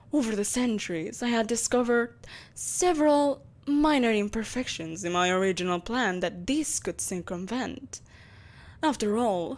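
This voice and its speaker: A woman voices an all-powerful evil empress in an audio drama monologue. Her voice sits fairly high, and her delivery is haughty, theatrical and formal, that of a possessive, seductive tyrant who has ruled for centuries. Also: darkish tone. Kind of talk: scripted audio roleplay monologue.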